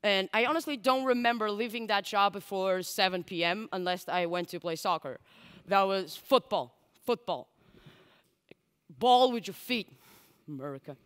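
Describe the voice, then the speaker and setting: A woman's voice: medium pitch, expressive, moderate pace, smooth. Chatty woman speaker in a reverberant hall. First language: Portuguese. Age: 20s. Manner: candid and chatty, full of likes and asides. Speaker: conference speaker. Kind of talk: casual conference talk. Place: large dark stage with audience. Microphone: headset mic.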